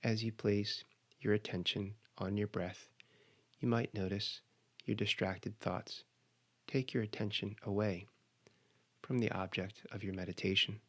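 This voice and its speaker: A man leading a guided meditation, giving measured, instructional cues with pauses between phrases. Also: lowish voice, steady tone, fairly bright tone.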